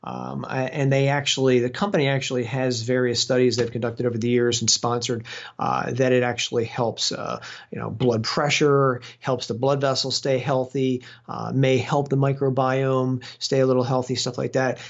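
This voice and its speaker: A man's voice: medium pitch, level tone, moderate pace, creaky. A man, a guest exercise physiologist, explaining at length in casual chat with many fillers and restarts. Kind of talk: casual interview over a call. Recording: dull lo-fi sound.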